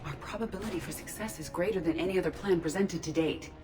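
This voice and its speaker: Formal, measured female android, played by an actress. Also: on the low side, close to an everyday voice. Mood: calm, analytical. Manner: formal, precise, measured phrasing without contractions. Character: composed female artificial intelligence android, logical and earnest. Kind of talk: video game dialogue acting. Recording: music playing behind the voice.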